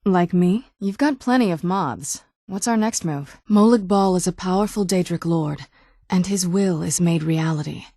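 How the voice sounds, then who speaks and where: A woman's voice: fairly low pitch, normal speed, mellow, no room sound. A woman playing a guarded, wry young-looking vampire noblewoman in a video game, dry and understated. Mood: wry, matter-of-fact. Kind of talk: video game character dialogue.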